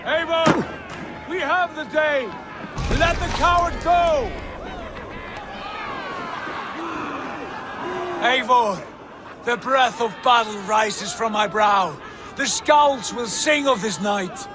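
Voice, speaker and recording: A man's voice: very high voice, takes it slowly, a crowd in the background. An actor playing a commanding male Viking chieftain in a video game. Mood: triumphant. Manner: commanding, boastful, then calm and brotherly. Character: bold male Viking chieftain, proud brother. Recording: game audio with loud ambient noise.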